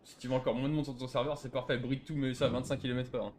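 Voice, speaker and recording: Fast-talking sarcastic man. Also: mid-range voice, little pitch movement, a little mellow, slight background noise. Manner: sarcastic, needling. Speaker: player trolling a roleplay game server. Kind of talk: casual argument in online game voice chat.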